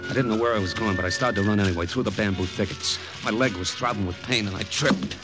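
Male actor as tense American secret agent, low voice, clipped dramatic delivery, vintage radio drama with loud music. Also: fast talker, close to an everyday voice. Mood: grim, tense. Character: tense American male wartime agent recounting his jungle mission. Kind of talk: 1950s radio drama acting.

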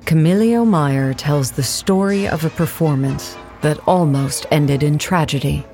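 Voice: mid-range voice, measured pace, a little mellow, faint music behind, lightly acted. A narrator reading a scripted documentary account for an audio play in a formal, factual manner.

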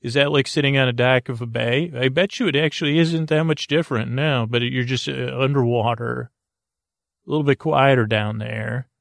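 A middle-aged man with a gravelly edge to his voice, talking in a soft, meandering way as he opens a bedtime podcast. He wanders off topic, restarts his sentences and keeps his tone low-key. The sound is close and dry, with no room around it. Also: moderate pace, no room sound. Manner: meandering, self-interrupting, soft-spoken. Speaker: podcast host. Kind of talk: rambling bedtime podcast intro.

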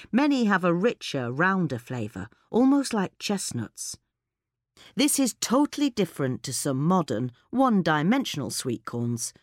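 A British woman reading a scripted magazine article in a clear, measured manner. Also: deep voice, no room sound, clean studio sound. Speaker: podcast article reader. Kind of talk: scripted narration of a gardening article.

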